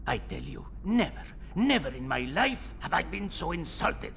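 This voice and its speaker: An actor playing a hot-tempered but cowardly smuggler boss in a video game, theatrical. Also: male voice, fairly high pitch, sing-song, moderate pace, gravelly, a bit bright, a little hiss or hum, exaggerated voice. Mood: indignant bluster. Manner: theatrical, swings from boastful to grovelling.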